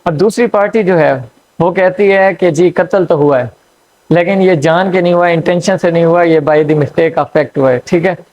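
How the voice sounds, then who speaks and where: A man giving a law lecture, explaining slowly with frequent fillers and checks. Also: a little high, a little mellow, slight background noise. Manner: hesitant, frequent fillers and checks "theek hai". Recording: dull lo-fi recording.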